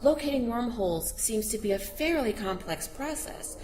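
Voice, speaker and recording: A woman's voice: on the high side, expressive, moderate pace, lightly acted. A woman playing an earnest, intrepid field reporter giving a live report from aboard a spaceship in an audio drama. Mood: brisk, reporting. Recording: noisy spaceship ambience behind voice.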